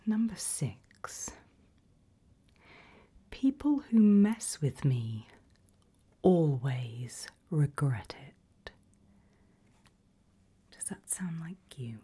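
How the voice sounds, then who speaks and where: Asmr lady whispering as a creepy polite hr interviewer, really slow and breathy, low soft murmurs. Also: very animated. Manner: slow, deliberate, measured reading with pauses. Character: calm, politely sinister HR interviewer at an evil organisation. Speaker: ASMR roleplayer playing an HR interviewer. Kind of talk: ASMR roleplay, whispered and softly spoken.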